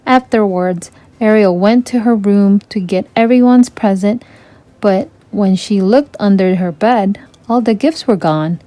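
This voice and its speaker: Woman narrator, children's storybook read-aloud, mellow soft-edged tone, dry close sound. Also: medium pitch, measured pace, no room sound.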